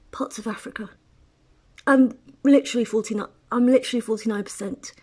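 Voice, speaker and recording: A woman's voice: a little high, husky. A woman from Eritrea talking at length in a casual personal monologue, rambling with restarts and asides. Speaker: writer.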